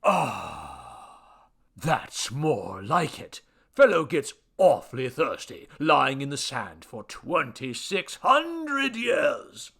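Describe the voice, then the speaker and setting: A man voicing a pompous, irritable ancient Babylonian god in a story, with grandiose, formal declamation and a rusty, booming voice. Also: sing-song, slow, vocal fry, bright, forceful tone, breathy edge, full character voice. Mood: gruff, grumbling. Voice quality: rusty booming foghorn bass.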